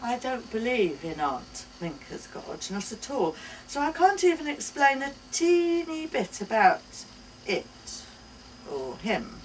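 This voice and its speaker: A woman's voice: on the high side, very animated, slow, voice pushed up. Affectionate mother played by a woman narrator in a live story reading, searching for words with emphasis, miked from afar. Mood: hesitant, candid. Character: earnest, affectionate mother explaining to her small daughter. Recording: distant mic, noisy live bookshop event.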